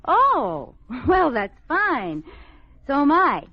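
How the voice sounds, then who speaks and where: An actress playing a coy, sweetly scheming housewife with an American accent in an old radio sitcom. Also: female voice, high voice, huge pitch swings, extremely slow, no room sound, full character voice. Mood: evasive, coy. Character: coy, scheming housewife feigning breezy unconcern. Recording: muffled, noisy old radio recording.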